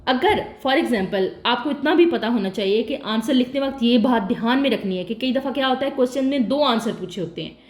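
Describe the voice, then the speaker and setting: A woman's voice: on the high side, expressive, a little fast, hardly any pauses. Explaining woman in an echoey room. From Pakistan. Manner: explanatory, asks and answers her own questions. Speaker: test-prep tutor. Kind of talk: casual spoken tutorial.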